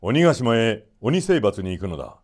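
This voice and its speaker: Man playing a brave, low-voiced young storybook hero. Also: very animated, normal speed. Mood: commanding, proud. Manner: firm, confident, declarative. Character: Momotaro, brave hearty young male folk hero.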